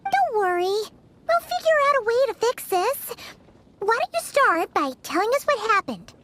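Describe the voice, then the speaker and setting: A woman voicing a tiny, excitable female fairy companion in a game, with a high, squeaky voice. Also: full character voice. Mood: reassuring. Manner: excitable, quick to wonder aloud. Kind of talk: game voice acting.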